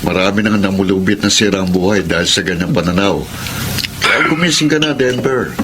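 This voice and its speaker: An actor playing a stern, cautioning friend in a Filipino radio drama, emphatic and admonishing, with a Filipino accent. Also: male voice, deep voice, a little fast, very noisy, natural voice.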